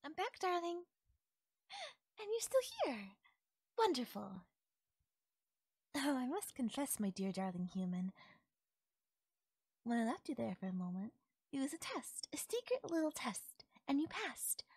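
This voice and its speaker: A woman's voice: high-pitched, very animated, unhurried, long pauses, darkish tone, breathy edge, dry recording, clean studio sound, exaggerated voice. A woman playing a possessive, doting fairy captor in an intimate ASMR audio roleplay, speaking sweetly and lilting, sometimes nearly whispering. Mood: delighted, adoring.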